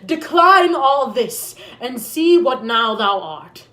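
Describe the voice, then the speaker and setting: Woman performing a vengeful mourning queen, higher-pitched, unhurried, solemn stage declamation, bitter, echoey room. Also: big pitch swings, pushed and bright, full character voice. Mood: scornful. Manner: solemn, declamatory Shakespearean delivery. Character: grieving, vengeful deposed queen, bitter and cursing.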